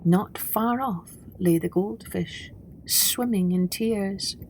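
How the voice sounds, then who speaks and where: A woman narrating a children's story in an expressive, deliberate, dramatic way. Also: mid-range voice, slight background noise, low-quality recording. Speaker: storyteller and podcast host.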